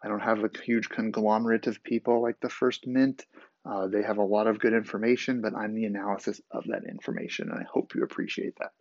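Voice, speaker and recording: A man hosting a casual solo podcast with a raspy, groggy voice, rambling with fillers and restarts. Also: on the low side, very animated, brisk, a little mellow, no echo, lo-fi sound. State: groggy after a vaccine. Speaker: podcast host.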